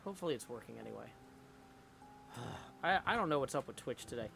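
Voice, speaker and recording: A man hosting a live game stream in a casual, rambling way, with a creaky voice. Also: mid-range voice, lively intonation, a little fast, fairly bright tone, faint music behind. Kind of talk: casual livestream chat.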